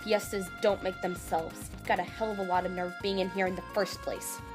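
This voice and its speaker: A woman's voice: fairly low pitch, little pitch movement, a little fast, music in the background, natural voice. Brusque, irritable female chef, played by a woman. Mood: irritated. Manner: brusque, sarcastic, barking folksy curses. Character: gruff, irritable, bossy female head chef with contempt. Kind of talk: audio drama character acting.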